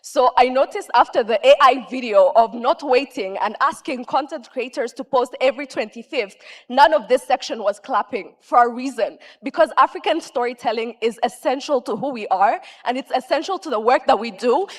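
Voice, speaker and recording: A woman's voice: high-pitched, normal speed. A woman speaking from a forum audience. She makes an impassioned, pleading appeal. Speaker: audience member. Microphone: handheld microphone.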